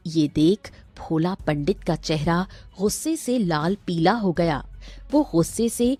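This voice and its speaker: A woman's voice: lowish voice, slow pace, warm tone, husky, no echo, soft background music. A woman reading scripted narration for a children's story.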